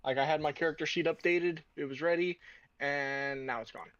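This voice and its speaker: A man's voice: a little high, steady tone, a little fast, fairly bright tone, no room sound, lo-fi sound. A man talking casually as a player in a tabletop game, hesitant and feeling his way through his turn.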